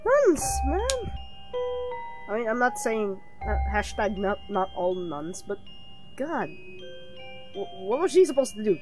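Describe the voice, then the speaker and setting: Wry, lively woman streaming a game. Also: mid-range voice, unhurried, long pauses, over loud music. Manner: sardonic, wry. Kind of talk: casual live game commentary.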